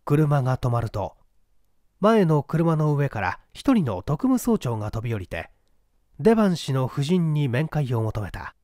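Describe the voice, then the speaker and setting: Male audiobook narrator, dramatic literary mystery reading, expressive pitch movement, dry sound. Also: measured pace, no room sound.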